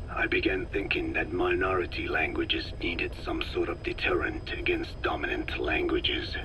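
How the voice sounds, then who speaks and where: A man playing a very old, solemn Navajo scientist in a video game, speaking slowly and formally with a breathy, whispery voice full of regret. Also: deep voice, background noise. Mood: grave, confessional. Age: older. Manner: slow, solemn, measured, formal. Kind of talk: dramatic game dialogue, reflective monologue.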